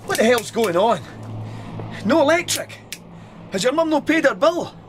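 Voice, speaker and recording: A man's voice: extremely high pitch, animated, normal speed, soft background music. An actor playing an agitated, suspicious man, blunt and insistent, in a naturalistic drama scene. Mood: angry, demanding. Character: agitated, suspicious man confronting a twelve-year-old boy. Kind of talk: naturalistic screen drama acting.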